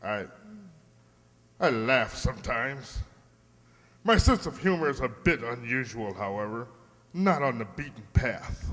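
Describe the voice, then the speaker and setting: A man's voice: medium pitch, expressive, a little slow, long pauses, a bit bright. A man playing a haughty, temperamental portrait painter with sardonic humor in a comic radio play, imperious and pompous. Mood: gleefully morbid. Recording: reverberant hall stage recording.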